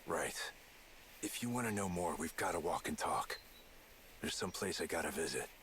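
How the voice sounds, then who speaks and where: An actor playing a guarded, plain-spoken spy in a video game, with a gruff, casual, clipped delivery. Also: male voice, low-pitched, lively intonation, normal speed, fairly bright tone, a little hiss or hum. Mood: laconic, reflective.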